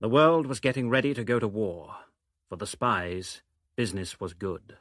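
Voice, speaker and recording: Low, dry-recorded male narrator. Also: lively intonation, moderate pace, fairly bright tone, no echo. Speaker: documentary narrator. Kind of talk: dramatic scripted narration.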